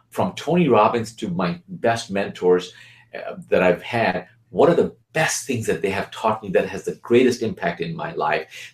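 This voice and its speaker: A man from Bangladesh giving an energetic, persuasive sales pitch for his real estate program. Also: echoey room. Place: Tampa, Florida.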